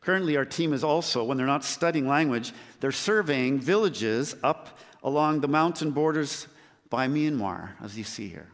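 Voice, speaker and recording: A man preaching a sermon as a missionary, earnest, with measured and emphatic pauses. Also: medium pitch, animated. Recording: long hall reverb.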